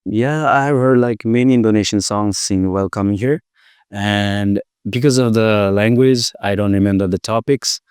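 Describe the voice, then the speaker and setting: A man from Nepal answers interview questions in a relaxed, easygoing way, sometimes pausing on fillers. His voice is fairly low, smooth and resonant, and it is captured dry and close. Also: no echo. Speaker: music producer, interview guest.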